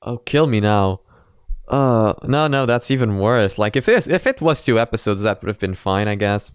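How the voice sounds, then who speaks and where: A man's voice: lowish voice, animated, normal speed, smooth, no echo, studio quality. A man giving casual, off-the-cuff reaction commentary, rambling and hesitant as he thinks aloud. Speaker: host of a reaction video.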